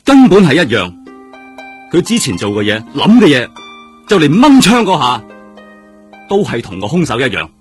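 An actor with a Hong Kong accent playing a seasoned, world-weary detective in a radio drama, speaking emphatically. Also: male voice, a little high, huge pitch swings, moderate pace, long pauses, breathy edge, faint music behind, heavily acted. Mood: intense, agitated. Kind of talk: Cantonese radio drama acting.

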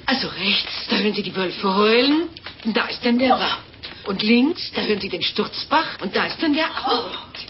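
A woman's voice: mid-range voice, lively intonation, moderate pace, natural voice. An actress playing a mocking, falsely reassuring innkeeper woman in a 1950s radio play. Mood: teasing menace. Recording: dull, noisy 1950s radio recording.

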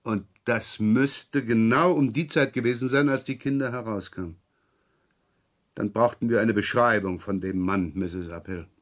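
A man's voice: on the low side, moderate pace, dry recording, studio quality, natural voice. A man acting a shrewd, rumpled, talkative provincial police inspector in a radio crime drama, probing and rambling with wry repetitions. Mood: businesslike, probing.

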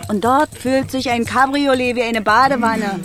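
An actress playing a sober, sceptical commentator with a dry, matter-of-fact manner in a radio play. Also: female voice, a little high, steady tone, normal speed, fairly bright tone, natural voice. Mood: dry, observant. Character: sceptical, sober female commentator puncturing heroics. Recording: music behind the voice.

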